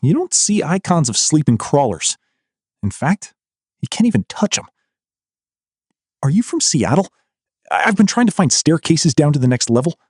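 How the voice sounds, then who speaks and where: A woman's voice: low voice, sing-song, moderate pace, pauses often, darkish tone, breathy edge, no room sound, clean studio sound, full character voice. A woman voicing a tired, wary adult man who talks in an apologetic mumble. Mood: weary.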